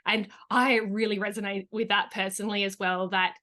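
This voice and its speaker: A woman's voice: mid-range voice, flat delivery, normal speed, pushed and bright. A woman hosting an interview, enthusiastic and chatty, with many casual likes. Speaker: host. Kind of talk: interview host.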